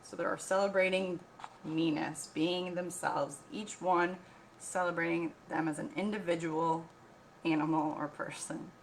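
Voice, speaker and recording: A woman reading a picture book aloud like a teacher, pausing to explain, ask questions and repeat key points. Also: lowish voice, steady tone, a little hiss or hum, rough recording. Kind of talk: read-aloud storytelling with explanatory asides.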